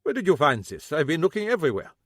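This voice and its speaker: A man's voice: on the high side, huge pitch swings, quick pace, dry recording, clean studio sound, voice pushed up. Man doing a grumpy old German cobbler. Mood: defensive. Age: older. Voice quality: clipped broken English, prickly.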